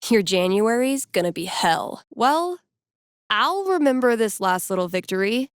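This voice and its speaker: A woman's voice: a little high, very animated, a little slow, clear, resonant tone, no room sound, clean studio sound. An actress playing a wry, teasing young woman in a video game, talking casually with playful sarcasm. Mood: playful, competitive. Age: young adult. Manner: casual, sardonic, playful banter. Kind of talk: naturalistic video game voice acting.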